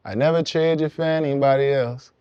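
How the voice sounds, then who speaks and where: A young man from Brooklyn, a songwriter guest, explaining his path in relaxed, reflective casual conversation. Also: on the high side, fairly quick, clear, resonant tone, dry recording, dull audio. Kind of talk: casual podcast chat.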